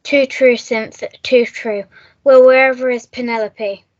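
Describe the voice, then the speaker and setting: A woman's voice: on the high side, steady tone, unhurried, lightly acted. A woman playing a posh, affected, languidly disapproving society girl in a radio melodrama. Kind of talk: melodramatic radio play acting. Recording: dull lo-fi recording.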